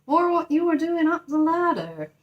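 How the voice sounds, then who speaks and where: Woman acting a high-pitched, incredulous storybook foreman. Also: big pitch swings, normal speed, highs cut off. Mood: concerned. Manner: incredulous, complaining. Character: male building-site foreman, questioning, exasperated, wailing, good-hearted. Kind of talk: children's storybook character reading.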